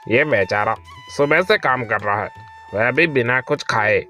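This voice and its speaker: A man's voice: medium pitch, unhurried, sharp tone. A man voicing a kindly village father in a moral-story cartoon, pleading and tagging phrases with little questions, over music. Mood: pleading reproach. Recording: music under the voice.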